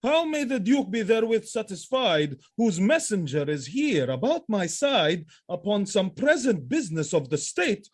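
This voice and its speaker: A man as a proud general, swooping, lightish pitch. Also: big pitch swings, normal speed, hardly any pauses. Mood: commanding. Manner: stately, declamatory theatrical delivery. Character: proud, self-assured, commanding male general. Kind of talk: Shakespearean audio drama acting.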